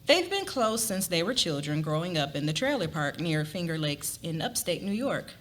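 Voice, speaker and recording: A woman's voice: lowish voice, sing-song, normal speed. A woman narrating a scripted story expressively at a live dramatic reading. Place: live event before an audience. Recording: live reading with clear ambient noise.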